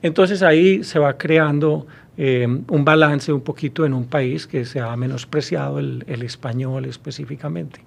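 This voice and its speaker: A man in his fifties speaks with a Colombian Spanish accent as a professor being interviewed. He pauses often and restarts his sentences as he thinks. The sound is close and clean from a studio microphone. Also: on the high side, gravelly, husky. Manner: thoughtful, many hesitations and restarts. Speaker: university professor guest. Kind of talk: interview answers. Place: small studio with blue backdrop. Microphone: studio mic on boom arm.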